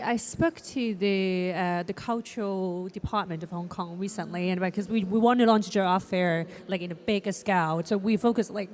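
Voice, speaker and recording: A woman speaks on a conference panel in a halting way, often repeating words as she searches for them. Her pitch is mid-range. The sound carries the long echo of a large hall. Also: a little hiss or hum. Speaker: panelist, art fair organizer. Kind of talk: panel discussion. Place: conference stage.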